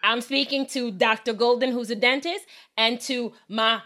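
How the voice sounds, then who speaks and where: A woman who is a dental hygienist and educator, co-hosting a casual podcast chat, emphatic and quick to laugh. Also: on the high side, steady tone, bright, forceful tone.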